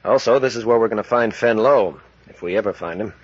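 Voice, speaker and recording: An actor playing a steady, wary expedition captain in a 1940s radio adventure, terse and matter-of-fact. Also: male voice, fairly low pitch, brisk, breathy edge. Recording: muffled vintage 1940s radio recording.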